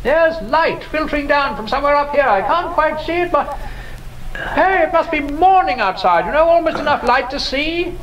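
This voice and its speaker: An actor playing a curious, skeptical gentleman antiquarian in a horror audio drama, erudite and exclamatory, musing aloud as he inspects things. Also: very high-pitched, lively intonation, moderate pace, a little hiss or hum, poor audio. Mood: fascinated.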